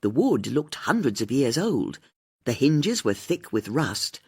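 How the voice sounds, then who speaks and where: A woman with a husky voice, reading a mystery audiobook as scripted narration. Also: rumbling low, big pitch swings, unhurried, darkish tone.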